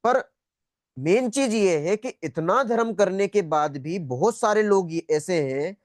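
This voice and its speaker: A man with a high-pitched voice teaches a spiritual class on a video call. He talks conversationally, repeating his points and asking questions to check that listeners follow. The sound is dry, with little room echo. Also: expressive, takes it slowly, a little mellow. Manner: conversational, repetitive, checking understanding often. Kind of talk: interactive lecture, questioning students.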